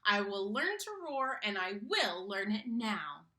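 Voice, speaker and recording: A woman voicing a tiny, meek male mouse in a storybook, timid and polite, squeaky but eager. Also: sing-song, slow pace, bright, forceful tone, full character voice. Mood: eager, hopeful.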